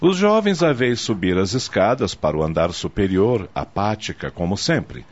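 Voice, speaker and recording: A man's voice: on the low side, big pitch swings, moderate pace. A man delivering scripted, dramatic narration for a radio soap opera. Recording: dull noisy audio with ambience.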